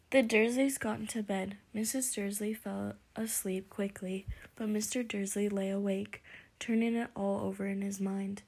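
A woman reading a storybook aloud in a soft, breathy whisper. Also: medium pitch.

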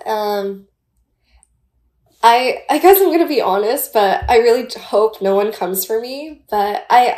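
A woman's voice: medium pitch, a little mellow. A woman in her twenties from Taiwan, a podcast guest chatting casually with many "like" and "um" fillers. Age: 20s.

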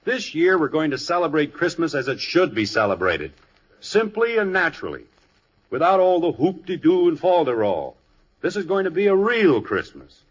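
A man's voice: on the high side, sing-song, moderate pace, breathy edge, poor audio. An American actor playing an exasperated, indignant middle-aged father in a 1950s radio sitcom, blustery, sarcastic and emphatic. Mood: exasperated, preachy.

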